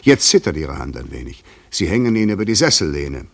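An actor in a radio play playing a probing, insistent stranger who talks in a theatrical, poetic way, moving between soft and emphatic. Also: male voice, rumbling low, sing-song, brisk, gravelly, exaggerated voice. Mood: musing, pressing. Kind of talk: German radio play acting.

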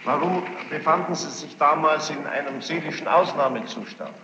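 A man questioning a witness as a judge in formal courtroom style, insistent and deliberate, repeating answers back. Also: a little high, vocal fry. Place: large echoey courtroom, archive tape. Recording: muffled old tape, echoey hall, hiss.

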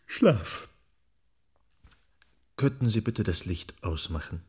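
An actor playing a thoughtful, anxious journalist in a radio drama, reflective and measured. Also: male voice, fairly low pitch, lively intonation, a little mellow, slight background noise. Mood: dry, assured. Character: thoughtful, anxious male journalist, candid and dryly articulate.

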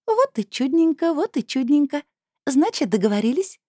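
A woman reader voicing a playful, coaxing female being with a soft, enveloping mezzo voice in a storybook. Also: high-pitched, very animated, normal speed, dry recording, clean studio sound, voice pushed up. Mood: persuasive. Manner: purring, teasing, coaxing.

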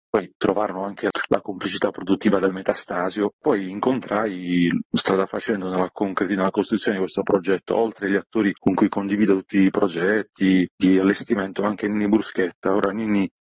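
A man's voice: low voice, darkish tone, husky, no room sound. A man, a theatre director and actor, chatting as a guest in an interview. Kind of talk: conversational radio interview. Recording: dull lo-fi line, dry.

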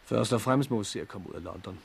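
An actor playing a practical, courteous man surviving a catastrophe in a 1960s radio drama, with measured, matter-of-fact delivery. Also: lowish voice, creaky, slight background noise. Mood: grave.

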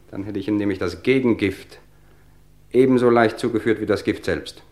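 An actor playing a smug, cultivated murderer who taunts police with measured, theatrical politeness in an old German radio crime drama. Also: male voice, lowish voice, darkish tone, lightly acted. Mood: coolly boastful.

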